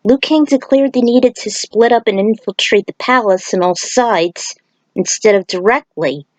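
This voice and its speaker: A woman's voice: mid-range voice, normal speed, no echo. A woman reading an action story aloud as a narrator, with casual asides. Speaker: fan fiction author reading aloud.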